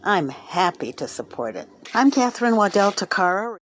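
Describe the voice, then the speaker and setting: A woman's voice: mid-range voice, very animated, moderate pace, slight background noise. A woman reading a sincere, earnest endorsement of a radio station. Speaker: listener giving a station promo. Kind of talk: read promo.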